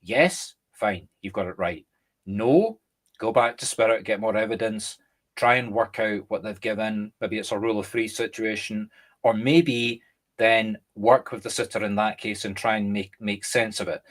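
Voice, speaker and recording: A Scottish man, a medium and co-host, chatting casually and explaining through lively examples. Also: fairly low pitch, normal speed. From Scotland. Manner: animated, explains through examples and acted-out quotes. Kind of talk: casual conversation.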